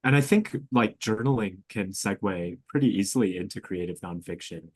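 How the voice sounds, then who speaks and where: Young male, student podcaster; deep voice, casual hesitant chat, dry recording. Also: animated, moderate pace, a little mellow, breathy edge, no room sound. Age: young adult.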